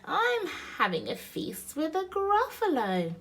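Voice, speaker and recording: A woman's voice: very high voice, wildly swooping pitch, takes it slowly, fairly bright tone, voice pushed up, full character voice. A woman voicing a small, bold, boastful storybook mouse with a sing-song, exclaiming delivery. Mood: cheekily confident.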